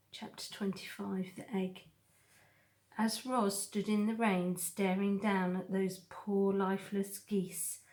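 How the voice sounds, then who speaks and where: Slow-paced woman reading a children's story. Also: mid-range voice, a little mellow. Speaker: storybook reader. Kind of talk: scripted narration of a children's novel.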